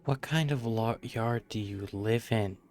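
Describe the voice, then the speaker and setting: Man streaming a game, gravelly, lowish voice, sarcastic quips. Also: a little slow, darkish tone, a little hiss or hum. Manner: dry, sarcastic asides. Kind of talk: live gameplay commentary.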